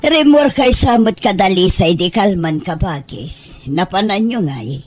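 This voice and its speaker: A woman's voice: mid-range voice, lively intonation, moderate pace, a little mellow, soft background music, natural voice. A woman playing a friendly, chatty relative in an Ilocano family audio drama.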